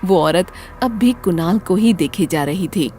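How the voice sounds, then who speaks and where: Expressive low-voiced woman storyteller. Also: unhurried, a little hiss or hum. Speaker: storyteller, narrator. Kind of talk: scripted dramatic horror story narration.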